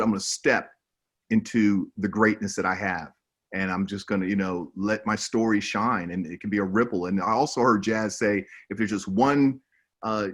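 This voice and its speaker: A man's voice: fairly low pitch, fairly bright tone. A man moderating an online panel in an upbeat, effusive and encouraging way, speaking over a video call.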